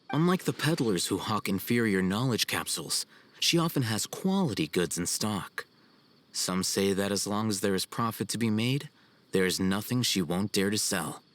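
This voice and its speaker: A man voicing a cool, haughty young scholar in a video game, formal and measured. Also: lowish voice, a bit bright, slight background noise. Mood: aloof, businesslike. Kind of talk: video game cutscene acting. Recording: music behind the voice.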